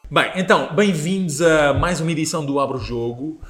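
A man's voice: a little high, a little fast, a bit bright, faint music behind. A man with a European Portuguese accent hosting an interview, chatty and joking, ending many questions with tag questions. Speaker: podcast host.

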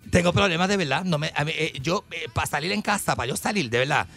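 A Puerto Rican man spins animated stories in casual chat, peppering them with playful sound effects. His voice sits high and bright with a gravelly edge. Faint music plays underneath. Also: quick pace. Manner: animated, uses sound effects and word repetition.

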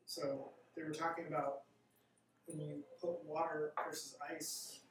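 A man at a podcast table explaining things in a hesitant, halting way. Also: fairly high pitch, little pitch movement. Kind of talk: casual chat over bourbon tasting. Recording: roomy, off-mic with faint room noise.

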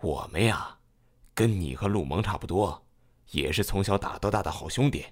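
A man playing a genial middle-aged father in an audio drama, gently probing and hospitable. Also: deep voice, expressive, normal speed. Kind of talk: modern audio drama acting.

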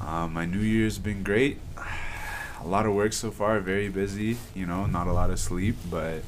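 A man guest in a casual podcast chat, relaxed and peppering his talk with "you know". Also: low voice, measured pace, slight background noise.

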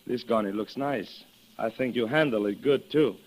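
An actor playing a cold, mocking killer in an old radio drama. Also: male voice, mid-range voice, moderate pace, natural voice, poor audio. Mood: mocking menace. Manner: sly, mocking, threatening. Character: menacing, smooth Mexican male killer, mocking and cold. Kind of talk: 1940s-50s radio drama acting.

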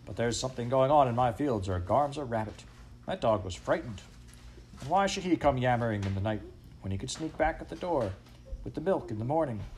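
A man's voice: medium pitch, lively intonation, brisk, a little mellow, lightly acted. Man doing an irascible rustic storybook farmer. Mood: uneasy grumbling. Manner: blustering, grumbling, rustic dialect phrasing. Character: irascible, startled male farmer, gruff rustic master. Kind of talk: storybook character voice.

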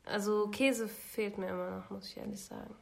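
Young woman with a cold, gravelly voice. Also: medium pitch, fairly even intonation, normal speed. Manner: chatty, talks at length with many restarts. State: has a cold. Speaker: podcast co-host. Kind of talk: casual chat.

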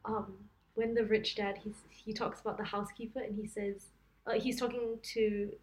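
A young woman chatting casually as a podcast co-host, reacting quickly and filling her talk with fillers. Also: medium pitch, level tone, slight background noise. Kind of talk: casual chat.

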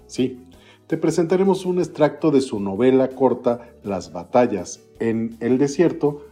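A man hosting a literary podcast, reading an introduction and an author biography in a formal, measured way. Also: mid-range voice, animated, warm tone, soft background music. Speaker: podcast host.

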